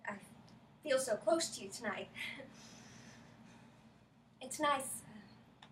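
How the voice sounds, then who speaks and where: A woman's voice: high-pitched, expressive, slow pace. A woman playing a shy, smitten young woman on a date in a staged romantic comedy reading, hesitant and giggly. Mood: tender, smitten. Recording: roomy, distant mic, faint noise.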